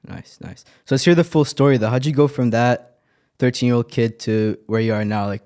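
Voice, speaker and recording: A man's voice: medium pitch, a little fast, darkish tone, smooth. A man hosting a podcast, asking questions casually and answering with short "yeah, nice" replies. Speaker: podcast host. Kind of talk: casual interview.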